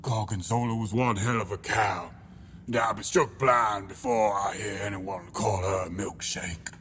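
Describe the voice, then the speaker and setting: A man's voice: on the low side, unhurried, fairly bright tone, slight background noise. A man playing a pompous, sentimental crab detective who narrates his log in a grandiose, melodramatic comedy audio drama style. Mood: indignant, sentimental. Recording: music behind the voice.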